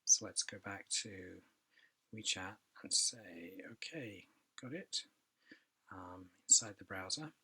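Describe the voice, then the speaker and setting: A man talks through a software demo in a relaxed, offhand way, pausing often to think aloud. His voice sits a little low, with a bright, forward edge and a slightly husky grain. It sounds like he is recording at his computer. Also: poor audio. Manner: relaxed, thinking aloud with many ums and asides. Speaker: product demonstrator. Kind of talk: casual software demo walkthrough.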